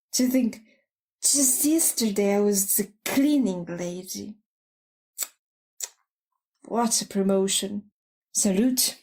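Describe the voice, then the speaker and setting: A woman acting as an unqualified, jaded news anchor in an audio drama. She drags her words very slowly at a middle pitch, muttering offhand, blunt asides. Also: a little mellow, poor audio. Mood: smug, rambling.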